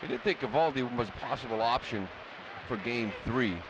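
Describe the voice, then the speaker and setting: A man's voice: medium pitch, moderate pace, noisy background. A man giving informal, opinionated baseball analysis in a conversational way on a live radio broadcast. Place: stadium broadcast booth. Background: ballpark ambience.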